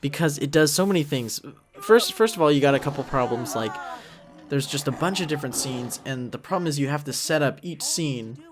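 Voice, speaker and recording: A man's voice: a little high, expressive, a little fast, a crowd in the background. A man giving a casual, rambling commentary track as creator of the series, thinking aloud with music behind him.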